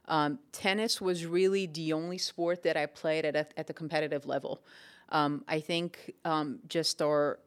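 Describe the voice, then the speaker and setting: Woman, smooth and even. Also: low-pitched, moderate pace. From Portugal. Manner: thoughtful, many ums and restarts. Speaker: tennis coach. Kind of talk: casual interview answers.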